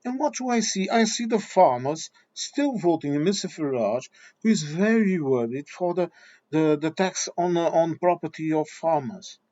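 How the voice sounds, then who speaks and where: A man's voice: a little high, expressive, moderate pace, dark tone, dull audio. A man with a Portuguese accent, Portuguese his first language, delivering a blunt, combative monologue straight at his viewers. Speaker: video commentator. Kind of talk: ranting monologue.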